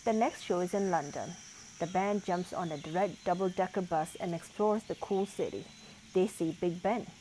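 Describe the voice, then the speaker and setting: A woman's voice: fairly low pitch, normal speed, warm tone, slight background noise. A woman reading a children's picture book aloud as a storyteller.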